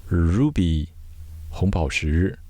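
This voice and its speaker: Deep voiced guy doing vocab lesson, slow, one word at a time, dry sound. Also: lively intonation, dark tone, no echo. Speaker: vocabulary teacher giving Chinese glosses. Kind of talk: scripted vocabulary explanation, word by word.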